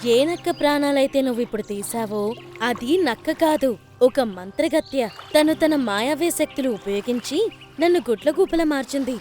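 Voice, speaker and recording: A woman's voice: sits high, animated, normal speed, darkish tone, slight background noise. A woman voicing a kind young mermaid who explains earnestly, in a children's cartoon. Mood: earnest, explaining.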